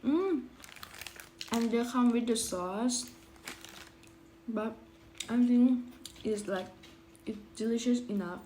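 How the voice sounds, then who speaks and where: A woman's voice: on the high side, slow pace, long pauses, mellow, slight background noise. A woman vlogging casually about food, speaking in simple, hesitant English. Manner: hesitant, simple non-native English phrasing. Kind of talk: casual food vlog to camera.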